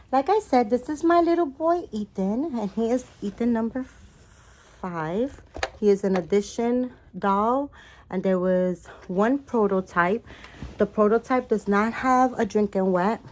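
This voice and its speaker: A woman's voice: fairly high pitch, measured pace, a little mellow. Chatty woman with a Spanish-tinged accent. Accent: Spanish-influenced English. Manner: chatty, apologetic, many fillers and restarts. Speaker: doll collector vlogger. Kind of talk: casual rambling vlog. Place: home apartment.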